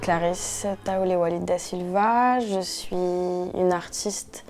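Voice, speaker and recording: A woman's voice: lowish voice, loud music behind the voice. Earnest young woman from French Guiana. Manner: earnest, measured, with frequent restarts and hesitations. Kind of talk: interview testimony.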